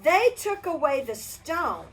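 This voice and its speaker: An older woman reading a Bible story to children in a gentle storybook manner, stopping to ask the kids questions. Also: sits high, sing-song, slow pace.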